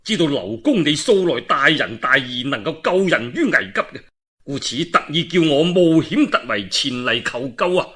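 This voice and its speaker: A brave, loyal male warrior, acted by a man telling the tale, speaks with a Cantonese accent. The voice is forceful and swoops widely, with a husky edge. He is urgent, forthright and respectful, in the manner of a historical storybook hero. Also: on the high side, big pitch swings, moderate pace, heavily acted. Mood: pleading. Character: brave, earnest young warrior, loyal and bold.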